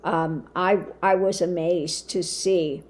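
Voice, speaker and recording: Slow, low-voiced woman with a strained voice. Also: steady tone, a little mellow. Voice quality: lowered, limited voice. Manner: slow, halting, with many fillers. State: voice weakened after throat surgery. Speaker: spiritual channel host. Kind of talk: casual monologue to camera.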